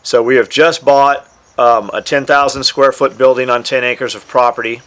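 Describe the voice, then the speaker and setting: A man with a mid-pitched voice talks in a loose, offhand way. He sounds like a business owner casually recounting how things grew, dropping swear words along the way. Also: steady tone, moderate pace, fairly bright tone, clear, resonant tone. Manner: offhand, profane. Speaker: gear maker and business owner. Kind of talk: casual storytelling about his company.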